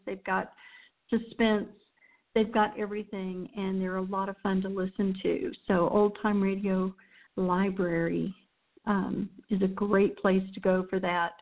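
A woman hosting a radio show, speaking casually and off the cuff in an informal, rambling way. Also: mid-range voice. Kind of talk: casual unscripted show sign-off. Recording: thin, phone-like line.

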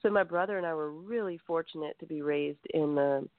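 A woman's voice: low-pitched, brisk, no echo. A woman born in Greece, a musician guest in a radio interview, talking reflectively with many fillers over a phone line.

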